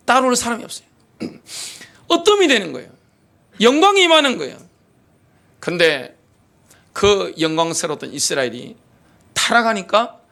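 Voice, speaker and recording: A man preaching a sermon as pastor, reading scripture slowly and firmly and putting rhetorical questions to the congregation. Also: sits high, creaky, sharp tone.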